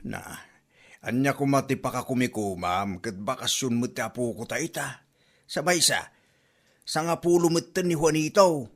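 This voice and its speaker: An exasperated old Ilocano father, acted by a man, nags and lectures in a comedy drama. He is blunt and scolding, with a middling pitch and a Filipino Ilocano accent. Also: moderate pace, close to an everyday voice. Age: older. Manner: nagging, lecturing.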